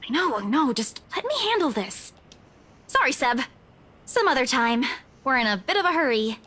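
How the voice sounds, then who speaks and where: Brief: a sharp, teasing young office worker; high, very lively voice. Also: female voice, exaggerated voice. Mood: playful. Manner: teasing, brisk, quick to laugh. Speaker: office employee. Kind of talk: voiced visual novel acting.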